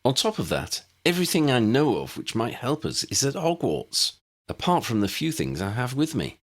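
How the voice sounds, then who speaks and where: A man's voice: medium pitch, huge pitch swings, moderate pace, voice pushed up. A man voicing a guarded, matter-of-fact male wizard teacher with a clipped, serious manner in a storybook. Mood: protesting.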